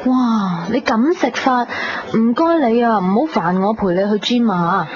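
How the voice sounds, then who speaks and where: A woman's voice: on the high side, unhurried, crowd noise, natural voice. A woman with a Hong Kong Cantonese accent playing a lovesick, self-blaming young woman in a radio drama. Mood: mildly exasperated. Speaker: radio drama actress and narrator. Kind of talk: 1990s radio drama dialogue and narration. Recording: dull 1990s radio recording, music bed.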